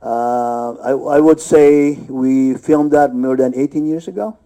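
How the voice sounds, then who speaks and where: A man's voice: mid-range voice, a little slow, mellow, rough recording. A man in his fifties, an actor and festival co-founder, speaking casually on a film festival panel with many fillers and frequent chuckles. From born in Hawaii, raised in the Philippines. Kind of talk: panel discussion, casual storytelling.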